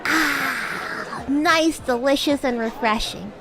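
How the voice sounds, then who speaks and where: A woman's voice: high-pitched, slow, crowd noise, poor audio. A woman voicing a bratty, cute demon classmate in an improvised VTuber roleplay, teasing and coy, easily flustered into stammering. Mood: playful, casual. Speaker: VTuber streamer. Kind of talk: improvised VTuber roleplay, casual chat with viewers.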